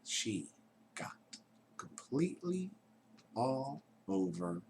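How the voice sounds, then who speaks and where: A man reading a children's story aloud in a theatrical way, dropping into hushed tones and chatting to his young listeners. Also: mid-range voice, big pitch swings, drawn-out words, a little hiss or hum.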